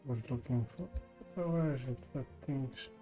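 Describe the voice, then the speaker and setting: Male streamer, mid-range voice, halting offhand muttering, prominent game music behind. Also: medium pitch, warm tone. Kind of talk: casual live-stream commentary. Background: video game music.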